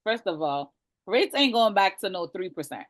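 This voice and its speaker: A woman with a Jamaican accent, a realtor talking on a webinar panel over a video call in a blunt, animated, colloquial way. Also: medium pitch, normal speed, no room sound, studio quality.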